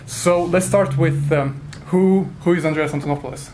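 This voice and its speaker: A man's voice: a little high, normal speed, a little mellow, a little hiss or hum. A man hosting a channel interview, upbeat and friendly as he prompts his guest with questions.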